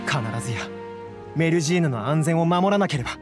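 A man's voice: on the high side, animated, fairly quick, over loud music, close to an everyday voice. An actor playing an earnest, hot-blooded young townsman in a Japanese video game, fervent and resolute.

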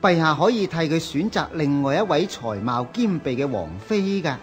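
An actor playing a fawning, scheming palace eunuch in a historical radio drama, obsequious and coaxing. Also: male voice, fairly high pitch, expressive, normal speed, soft background music, natural voice. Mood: sly, coaxing.

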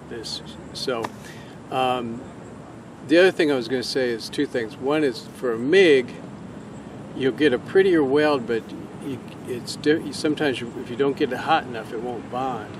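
A middle-aged man casually explaining a simple welding job, talking slowly with many restarts. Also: mid-range voice. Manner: slow, rambling, full of restarts and fillers. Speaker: hobby welder. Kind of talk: casual how-to demonstration. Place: outdoors in a parking lot and garage driveway. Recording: outdoor street noise behind.

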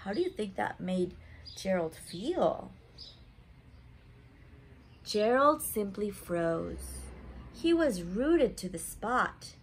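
A woman in her thirties hosts story time for little children at home. She reads slowly and talks to her listeners in simple asides and questions. There is clear background noise in the room. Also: mid-range voice, sing-song, rough recording. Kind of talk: read-aloud to young children with chatty asides. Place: home living room, indoors.

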